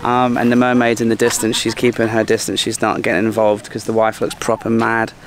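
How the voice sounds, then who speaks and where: A man in his thirties telling stories casually to camera as a travel vlogger, with an animated way of retelling things. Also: on the low side, fairly quick. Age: 30s. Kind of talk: casual vlog storytelling to camera. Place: outdoors by a seaside sculpture park. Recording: clear outdoor background noise.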